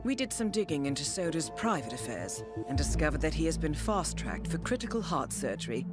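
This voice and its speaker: An actress playing a composed, authoritative female mission handler in a video game, speaking in a crisp, formal, measured way. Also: low-pitched, very animated, loud music behind the voice. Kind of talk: scripted game dialogue and mission briefing.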